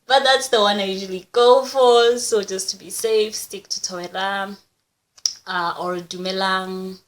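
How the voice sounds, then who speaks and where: A young Kenyan woman, Kikuyu her first language, talks casually to her camera. Her voice sits at a middle pitch, and her manner is chatty and upbeat with a self-conscious edge. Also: fairly even intonation, measured pace. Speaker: YouTuber. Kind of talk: casual vlog, teaching phrases.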